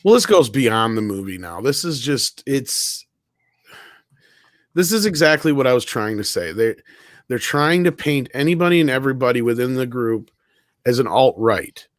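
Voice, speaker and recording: A man's voice: mid-range voice, animated, normal speed, no echo, clean studio sound. A man giving blunt, casual commentary on a talk panel.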